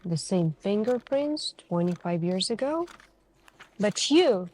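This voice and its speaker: A woman doing an ASMR roleplay as a suspicious female detective, questioning in a deliberate, pointed way with many pauses. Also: medium pitch, animated, a little mellow. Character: calm, suspicious, probing female police detective. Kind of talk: ASMR interrogation roleplay, slow deliberate delivery.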